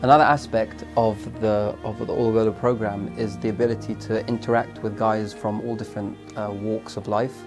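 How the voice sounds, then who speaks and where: A young man with a fairly low voice talks earnestly about his training. He reflects as he goes and restarts his phrases now and then. Soft music plays behind him. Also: little pitch movement, a little fast, hardly any pauses. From London, England. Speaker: teacher trainee. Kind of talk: testimonial interview.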